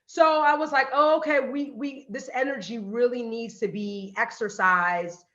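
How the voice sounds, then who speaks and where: A woman on a panel who works in radio and podcasting, telling a lively story and acting out quotes. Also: fairly high pitch, measured pace, roomy sound, lo-fi sound. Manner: animated storytelling, acts out quotes. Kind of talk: panel talk, personal story.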